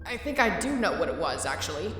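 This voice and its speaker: A woman's voice: lowish voice, very animated, quick pace. A woman voicing an earnest, boastful, caring young male skeleton in a dramatic comic dub, grandiose and insistent in manner. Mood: gentle, persuading. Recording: echoey hall, distant, faint music.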